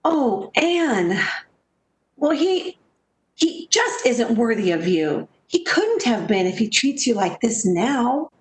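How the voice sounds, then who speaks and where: A woman's voice: on the high side, big pitch swings, slow pace, roomy sound, low-quality recording. A woman playing a sensible, protective friend in 1940s-style radio theater, coaxing and theatrical. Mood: alarmed, consoling.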